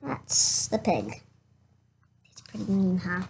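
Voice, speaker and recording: Someone reading a children's storybook aloud in a halting way, stumbling over words and going back over them. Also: medium pitch, very animated, gravelly, darkish tone, breathy edge. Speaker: reader of a children's picture book.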